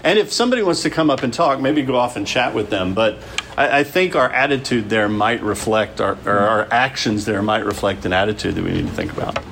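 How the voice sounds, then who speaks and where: A man leading a church group discussion in a casual, halting way, with many restarts. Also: on the low side, lively intonation, gravelly, a bit bright, slight background noise.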